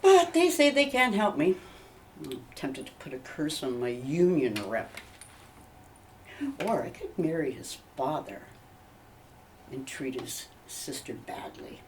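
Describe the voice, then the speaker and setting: A woman's voice: deep voice, very animated, slow, long pauses, a little mellow, distant mic, slight background noise, full character voice. A woman playing a theatrical, indignant actress typecast as witches and stepmothers, gushing dramatically in a comedy mock interview. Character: theatrical, aggrieved actress who plays witches and evil stepmothers.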